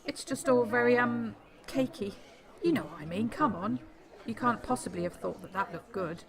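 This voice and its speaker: A patronising mum played by a woman in a radio drama, sweetly critical of her daughter. Her voice is heavily creaky, with a lot of party chatter around her. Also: fairly high pitch, moderate pace. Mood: critical, condescending. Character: condescending, critical mother of an eighteen-year-old daughter.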